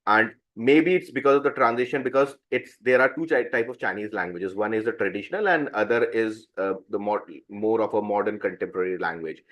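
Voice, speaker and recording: A man at a club meeting sharing a personal experience, pausing often to search for words. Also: fairly low pitch, dull audio. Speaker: meeting participant. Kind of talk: personal anecdote.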